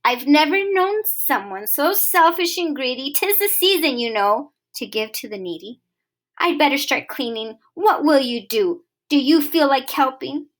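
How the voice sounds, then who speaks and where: Woman doing exasperated storybook grown-up, scolding, high voice. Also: lively intonation, measured pace, a bit bright.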